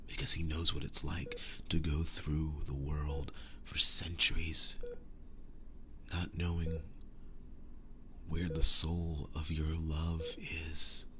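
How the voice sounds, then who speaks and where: A man playing a devoted, tender werewolf boyfriend in an intimate ASMR roleplay, speaking softly and breathily, often dropping to a whisper, earnest and halting. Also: extremely low pitch, completely flat delivery, noisy background. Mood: tender, solemn. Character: devoted, tender werewolf boyfriend sharing his soul with demon knight.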